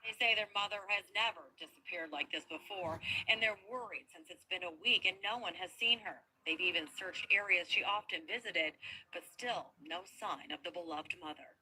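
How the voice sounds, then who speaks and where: A woman news reporter reading a serious, measured report. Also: on the low side, sharp tone, poor audio. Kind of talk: scripted news narration.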